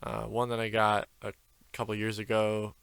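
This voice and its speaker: A man's voice: lowish voice, monotone, moderate pace, vocal fry, fairly bright tone, smooth, dry recording. A young man hosting a solo podcast from his basement, chatting freely and rambling with lots of fillers. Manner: rambling, freestyle with many fillers. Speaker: podcast host. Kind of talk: casual solo podcast chat. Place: home basement with concrete walls. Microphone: desk microphone on camera.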